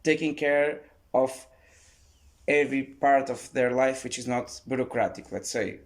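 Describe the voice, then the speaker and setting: A man's voice: mid-range voice, fairly even intonation, unhurried. Man hosting a casual podcast. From Portugal. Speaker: podcast host. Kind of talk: casual chat.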